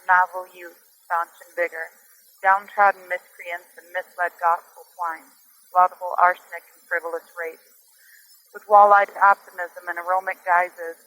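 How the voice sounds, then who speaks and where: A woman reading her own poem in a deliberate, declamatory manner. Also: lowish voice, bright, forceful tone, poor audio. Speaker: poet reading own work. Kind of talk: poetry recitation.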